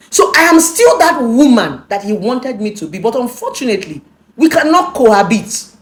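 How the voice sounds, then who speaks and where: A Nigerian woman in her thirties giving an emphatic personal talk, preaching her lessons and slipping into Pidgin. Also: a little high. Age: 30s. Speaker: relationship speaker.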